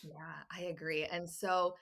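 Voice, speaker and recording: A young woman hosting a casual podcast, with a slightly low but very bright, forceful voice. She is encouraging and agreeable, nodding along with quick "yeah"s and "mm"s. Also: little pitch movement. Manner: agreeable, encouraging, many short affirmations. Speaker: podcast host.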